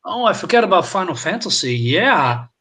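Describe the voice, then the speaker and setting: A man chatting casually as a panelist, agreeable and quick to chime in. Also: sits high, big pitch swings, bright, forceful tone, a little hiss or hum. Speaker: panelist on a film discussion show. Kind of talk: casual chat.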